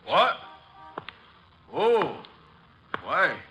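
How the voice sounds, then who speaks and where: A man's voice: a little high, big pitch swings, extremely slow, sharp tone, soft background music, exaggerated voice. A man playing a surly, defiant, uneducated street tough who grumbles, in a 1950s radio drama. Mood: defensive, annoyed. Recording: vintage radio recording with faint noise.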